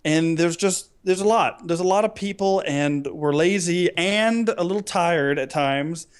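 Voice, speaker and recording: A man with a fairly high voice co-hosts a casual comedy podcast. He talks in loose, rambling banter and keeps a joking tone as he goes. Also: measured pace, smooth. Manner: jokey, rambling. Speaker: podcast co-host.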